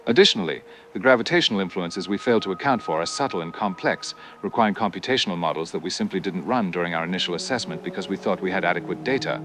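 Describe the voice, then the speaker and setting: A man's voice: deep voice, animated, fast talker, few pauses, a bit bright, a little hiss or hum. A man playing a grave scientist giving a formal, academic explanation in a TV news interview. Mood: grave, regretful. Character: grave male scientist explaining a catastrophic asteroid miscalculation. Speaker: expert interviewee on a TV news broadcast.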